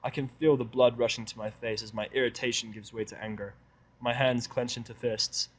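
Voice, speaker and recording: Male narrator, medium pitch, first-person storytelling, sardonic, grumbling, dramatized fan fiction reading. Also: fairly bright tone, low-quality recording.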